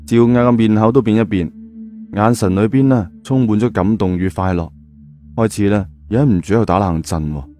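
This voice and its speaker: A man's voice: fairly low pitch, fairly quick, dark tone. A man narrating an audiobook in a dramatic, colloquial storytelling style. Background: music.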